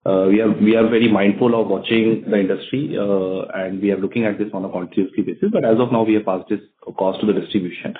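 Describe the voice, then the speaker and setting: A man's voice: lowish voice, fairly even intonation, quick pace, hardly any pauses, a little hiss or hum. A man with an Indian accent explaining things as a company executive answering questions on an earnings call. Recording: narrow phone conference line.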